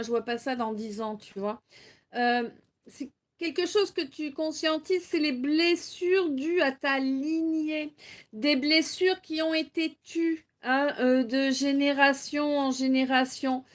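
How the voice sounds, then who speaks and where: High-voiced, unhurried french woman. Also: fairly bright tone. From Normandy, France. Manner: chatty, laughs often, reads chat aloud. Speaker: tarot reader hosting a live stream. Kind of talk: live-stream card reading chat.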